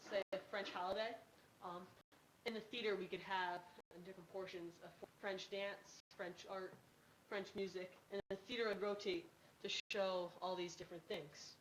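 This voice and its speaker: Girl student doing a presentation, kinda halting, normal pitch, bit of background noise. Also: steady tone, low-quality recording. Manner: hesitant, halting. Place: meeting room.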